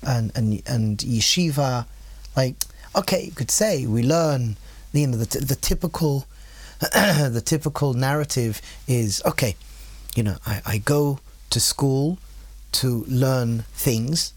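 A man's voice: medium pitch, slow pace, breathy edge, no echo. Thoughtful man, podcast guest. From England. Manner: thoughtful, hesitant, many fillers and rhetorical questions. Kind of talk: casual podcast conversation.

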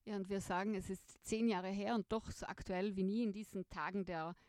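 A woman's voice: mid-range voice, fairly even intonation, brisk, a little mellow, no room sound, studio quality. A woman hosting a podcast, asking formal and polite interview questions.

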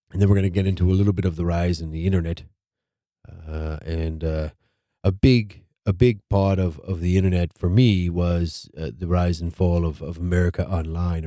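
A man hosting a casual podcast, stuffed up, talking in a rambling, halting way and repeating his words. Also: low-pitched, mellow, no room sound, clean studio sound. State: stuffed up nose. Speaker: podcast host.

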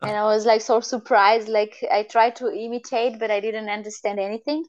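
A woman's voice: a little high, steady tone, brisk. Romanian woman, chatty, slightly muffled audio. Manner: chatty, laughs often, many restarts. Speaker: guest. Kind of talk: casual conversation. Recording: dull lo-fi audio.

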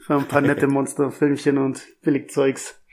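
A man co-hosting a horror movie podcast over a remote line, explaining things in a relaxed, easygoing way. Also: medium pitch, moderate pace, gravelly. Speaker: podcast co-host. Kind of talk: casual podcast chat.

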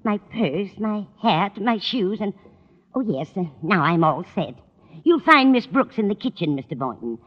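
A woman's voice: mid-range voice, big pitch swings, measured pace. An actress playing a sweet, scatterbrained landlady in a mid-century radio sitcom, fussing absent-mindedly. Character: scatterbrained, kindly landlady fussing over her cat. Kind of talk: 1940s-50s radio sitcom acting. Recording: muffled, echoing, noisy old radio recording.

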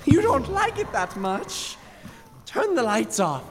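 A woman performs a fraying lighthouse keeper in a radio play, once a man of the stage. The voice is high and very animated, agitated and given to theatrical stammering. It rings in long reverb over some noise. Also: slow. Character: panicky, unravelling male lighthouse keeper, former stage actor. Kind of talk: radio drama table read. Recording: reverberant, noticeable background noise.

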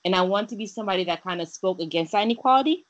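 A woman's voice: mid-range voice, lively intonation, racing, dull audio. A young woman from Haiti, a guest in a casual interview, talking earnestly with many fillers and restarts. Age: young adult.

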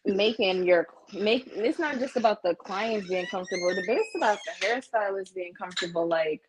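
A woman's voice: mid-range voice, moderate pace, background noise. A woman guest chatting casually as a salon client, with a blunt, candid, slangy manner. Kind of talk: casual chat.

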